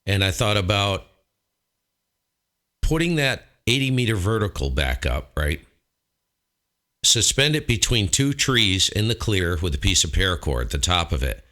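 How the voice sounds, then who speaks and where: A man's voice: deep voice, big pitch swings, normal speed, pauses often, bright, forceful tone. A man talking casually as an amateur radio operator, thinking aloud as he goes. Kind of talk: casual ham radio chat.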